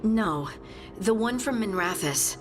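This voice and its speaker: A woman's voice: medium pitch, animated, slow, faint music behind. A woman playing a focused, confident companion in a fantasy video game. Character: focused female scout, confident companion bringing word. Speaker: video game character actor. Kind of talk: dramatic fantasy game dialogue.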